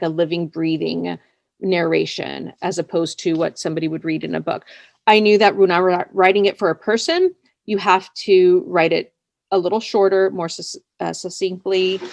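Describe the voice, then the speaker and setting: A woman chatting casually as an interviewed writer, with an animated, easygoing conversational way of talking. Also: medium pitch, gravelly, dry recording.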